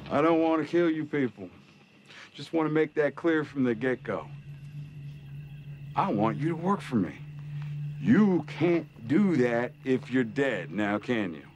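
An actor with an American accent playing a smug, cruel middle-aged gang leader in a TV drama, drawling and mocking with deliberate pauses. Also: male voice, medium pitch, lively intonation, darkish tone, lightly acted. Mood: calm menace. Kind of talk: television drama villain monologue. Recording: TV drama clip with noticeable background noise.

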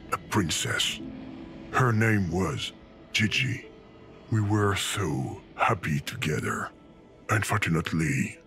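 A melodramatic sentient game program, with a widely swooping voice and unhurried delivery. He is theatrical and excitable, a video game character with music clearly playing behind him. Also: low voice, heavily acted. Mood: mournful. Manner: theatrical, swinging from mournful to panicked.

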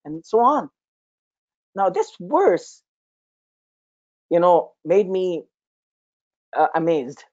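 A man's voice: sits high, sing-song, very slow, pauses often, darkish tone, lo-fi sound. Man teaching, native Urdu speaker. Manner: conversational, many fillers and restarts. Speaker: Arabic instructor. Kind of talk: informal lecture with personal story. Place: small room indoors, talking over a shared screen.

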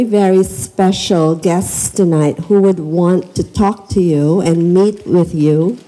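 A woman's voice: fairly low pitch, dark tone, low-quality recording. A middle-aged woman with a Filipino accent, giving an informal welcome speech into a handheld microphone as an event host in a school library. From the Philippines.

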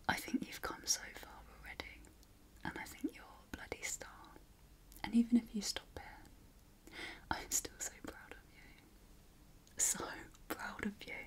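A British woman speaking in a breathy whisper, giving encouraging, affectionate ASMR affirmations in an informal way. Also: a little high. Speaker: ASMR host.

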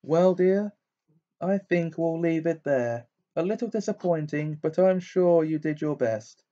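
A man voicing a dreamy, eccentric older woman who teaches divination, with a high, breathy, fussing manner. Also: a little slow, dark tone, close to an everyday voice. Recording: dull lo-fi recording.

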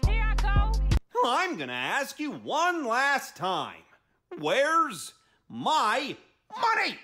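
A clownish cartoon dog, excitable and demanding, with a very high, wildly swinging pitch. The words come in a slow, chortling drawl, bright and forceful, in a comedy skit with faint music behind. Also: male voice, exaggerated voice. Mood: manic, threatening. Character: goofy cartoon dog, excitable, threatening, demanding his money.

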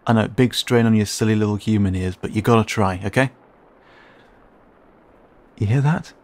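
A man's voice: lowish voice, a little mellow, close to an everyday voice. A man playing a flustered, cheeky raccoon boy. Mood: cheeky, defensive. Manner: flustered, muttering, sarcastic, halting. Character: cheeky, skittish young raccoon boy, grumbling intruder. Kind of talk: intimate audio roleplay.

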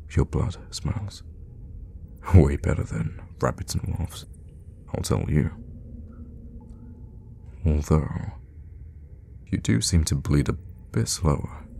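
A man acts out a sadistic old vampire in an ASMR audio roleplay. His voice is extremely low and gravelly, sinking into breathy whispers. He speaks very slowly, teasing and menacingly tender, in a long reverb. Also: full character voice. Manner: slow, hushed, menacingly tender with pet names. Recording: long reverb, faint background noise.

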